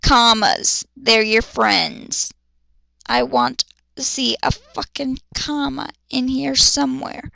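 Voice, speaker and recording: Female reader-commentator, blunt and sarcastic, creaky edge, close dry sound, dramatic amateur story reading. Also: high voice, lively intonation, slow, bright, forceful tone, dry recording. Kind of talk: dramatic fan fiction reading with ranting asides.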